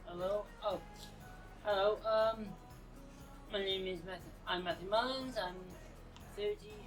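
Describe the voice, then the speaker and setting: A man in his thirties giving halting, unscripted interview answers outdoors. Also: high-pitched. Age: 30s. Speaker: actor. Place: outdoors on a sea front. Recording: distant mic, music behind.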